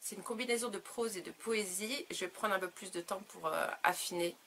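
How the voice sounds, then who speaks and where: A woman speaking her native French as an author in an interview, reflective and halting as she searches for words. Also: mid-range voice, a bit bright, a little hiss or hum. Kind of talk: reflective interview answers about own work.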